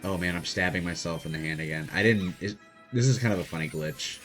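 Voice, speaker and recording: Young man, deep voice, quiet and laid-back, narrating his game play. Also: fast talker, soft background music. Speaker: gaming channel host. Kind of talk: casual gameplay commentary.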